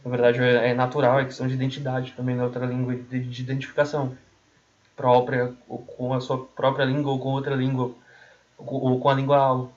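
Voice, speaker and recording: A man's voice: mid-range voice, completely flat delivery, fairly quick. Male tutor, Brazilian accent, mid-range voice, colloquial and explanatory, circles back to rephrase; dull lo-fi recording. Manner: colloquial, repeats and rephrases himself. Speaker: language tutor giving learning tips. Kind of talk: informal explanatory talk. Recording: dull, muffled lo-fi audio.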